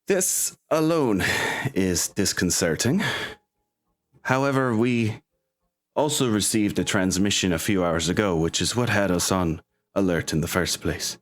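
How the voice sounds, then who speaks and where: Man plays composed female military captain in tabletop game, low voice, formal and measured, slow pace. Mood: grave, composed.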